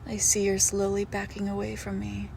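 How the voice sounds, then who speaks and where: A woman playing a possessive monstrous girlfriend in an intimate horror roleplay, condescending and darkly affectionate, with deliberate, drawn-out phrasing. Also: mid-range voice, completely flat delivery, vocal fry, mellow, slight background noise, lightly acted. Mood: quietly menacing. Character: possessive monstrous girlfriend with shadow powers, sweetly menacing. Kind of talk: intimate horror roleplay audio, slow and hushed.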